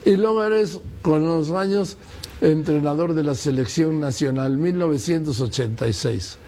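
A man's voice: a little high, normal speed, dark tone. A man in his seventies interviewing a guest, conversational, prompting with dates and history. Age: 70s. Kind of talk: casual interview.

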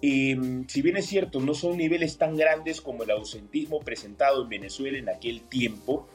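A man from Peru giving measured political analysis as an interview guest, with many hesitations. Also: medium pitch, soft background music. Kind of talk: interview on air.

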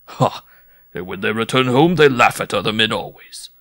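Here is a man playing an enormous circus giant from a storybook, boastful and drowsy. The voice is low and creaky, recorded very dry, and drags along with smug self-satisfaction. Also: lively intonation, normal speed, a bit bright, no echo. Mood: drowsy boasting. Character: huge, boastful, sleepy circus giant, vain ladies' man. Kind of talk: theatrical audiobook character voice.

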